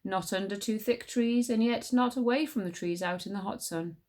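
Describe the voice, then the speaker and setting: A woman reading a story aloud as a teacher would, in an expressive storybook narration. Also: mid-range voice, moderate pace.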